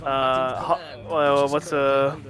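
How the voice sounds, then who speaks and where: A man bantering casually as co-host, jokey and self-deprecating with quick asides. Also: mid-range voice, steady tone, a crowd in the background. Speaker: co-host of a gaming stream.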